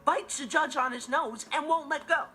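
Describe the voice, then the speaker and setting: A young American man playing an earnest, excitable, gullible teenage boy in a sitcom, telling stories to the audience in an animated, emphatic way. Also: very high-pitched, normal speed, music in the background. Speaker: sitcom actor. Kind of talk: comedic monologue to the audience.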